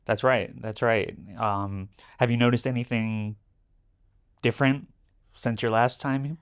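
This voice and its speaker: A man from Brooklyn, New York, hosting a podcast interview, asking leading questions and often checking in with a quick "right?". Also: medium pitch, fairly even intonation, clean tone. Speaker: podcast host. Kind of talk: casual interview.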